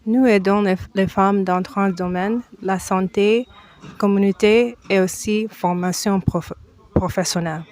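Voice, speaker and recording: A woman from the United States, answering a TV interviewer's questions slowly and with many hesitations. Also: mid-range voice, fairly even intonation, darkish tone. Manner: slow, halting, with frequent hesitations and restarts. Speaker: foundation president, guest.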